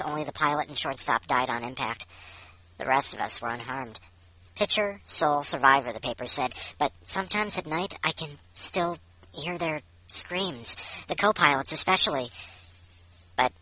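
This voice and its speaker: Woman playing a low, deadpan, obsessive oddball ex-ballplayer. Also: full character voice, poor audio. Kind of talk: dramatic short-story reading in character.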